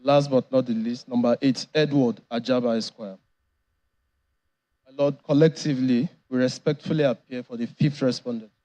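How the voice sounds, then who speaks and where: A man's voice: mid-range voice, steady tone, moderate pace, mellow. A man with a Nigerian accent formally announcing appearances as a lawyer in court. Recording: dull, muffled courtroom audio.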